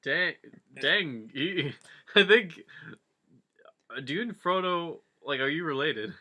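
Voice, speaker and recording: Guy co-host w bright voice, super up and down pitch, casual riffing. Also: lively intonation, takes it slowly. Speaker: co-host commenting on a reading.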